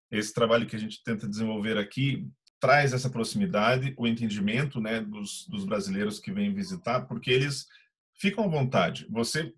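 A man's voice: fairly low pitch, fairly bright tone, breathy edge. A man from Brazil with a Brazilian accent, a tour guide talking conversationally in an interview. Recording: dull, muffled lo-fi audio.

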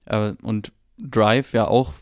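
A man's voice: fairly low pitch, fairly even intonation, slow pace, no echo, clean studio sound. A man co-hosting a film podcast, discussing movies in a casual way.

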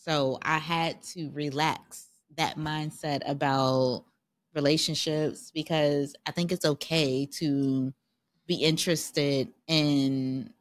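A woman co-hosting a podcast, relaxed and affirming, asking questions in a casual chat. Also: deep voice, no echo. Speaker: podcast co-host.

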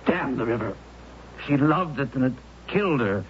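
An actor playing a grieving widower in a 1970s radio drama, speaking in an anguished, intense way. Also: male voice, mid-range voice, expressive, normal speed, a little hiss or hum, natural voice. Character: grieving widower, racked with guilt. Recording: muffled old radio broadcast.

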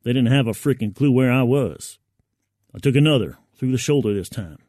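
A man reads dramatic first-person fiction in a medium-pitched voice. His delivery is colloquial and gritty, as if living the tale. The sound is very dry, with no room to it. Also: expressive, fairly quick, a little mellow, dry recording. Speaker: narrator.

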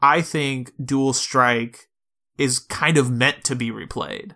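A man's voice: medium pitch, fairly even intonation, slow pace, sharp tone. A man co-hosting a podcast, talking casually about video games and explaining things step by step. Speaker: podcast host. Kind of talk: casual video game discussion.

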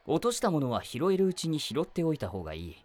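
A man's voice: fairly high pitch, big pitch swings, quick pace. A man playing a wry, meddling teacher. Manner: wry, lecturing, gently probing. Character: teasing, meddling adult male teacher. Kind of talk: voice drama acting.

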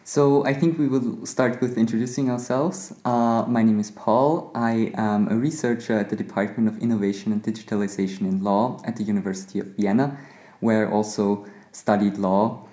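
Hesitant Austrian man, lowish mellow voice. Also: fairly even intonation, normal speed. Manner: hesitant, with many "uh" fillers. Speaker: podcast co-host, law researcher. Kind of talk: conversational podcast talk. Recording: echoey room.

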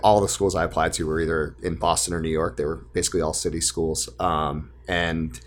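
Earnest man from Maine, very low voice. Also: level tone, fairly quick. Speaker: guest, lending business co-founder. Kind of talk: casual interview chat.

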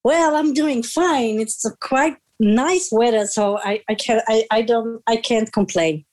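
A woman's voice: a little high, unhurried, a little mellow. Hesitant Dutch-accented woman guest. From Netherlands. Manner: hesitant, many fillers, laughs often. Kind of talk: casual interview chat.

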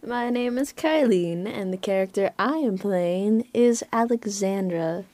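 Woman being interviewed, chatty n a bit hesitant, medium pitch, really dry sound. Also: unhurried, mellow, no room sound. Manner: hesitant, piecing thoughts together as they go. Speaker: voice actor being interviewed about their role. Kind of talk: casual interview chat.

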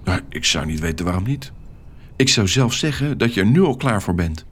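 A man's voice: fairly low pitch, expressive, fairly quick, breathy edge. A man voicing a friendly, encouraging male workmate in a children's story, with an easygoing manner.

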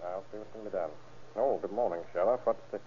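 An actor playing a calm, matter-of-fact male doctor in an old-time radio crime drama, with a practical bedside manner. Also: on the low side, quick pace, a little hiss or hum, lightly acted. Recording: muffled, band-limited vintage radio recording.

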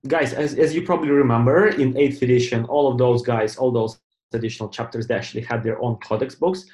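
A man whose first language is Russian, co-hosting a casual podcast, excitable and often stammering and repeating words. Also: mid-range voice, fast talker. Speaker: podcast co-host.

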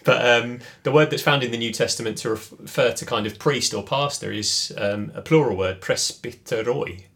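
A man's voice: mid-range voice, moderate pace, creaky, fairly bright tone. A man co-hosting a podcast, speaking in a thoughtful, casual way with many fillers and restarts. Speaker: podcast co-host. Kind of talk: casual reflective chat.

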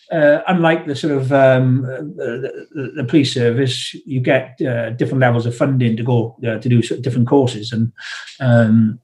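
South welsh guy on a zoom interview, kinda flat, lots of uhs and restarts, bit of a cough. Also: mid-range voice. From South Wales. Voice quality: slight cough. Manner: hesitant, many restarts and fillers. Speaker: guest, ex-Royal Marine. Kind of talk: conversational interview over video call. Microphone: video call.

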